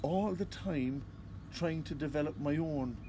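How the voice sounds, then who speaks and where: A man with an Irish accent, a psychotherapist answering interview questions in a calm, measured way. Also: a little high, noisy background. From Ireland. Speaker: psychotherapist and constellations facilitator.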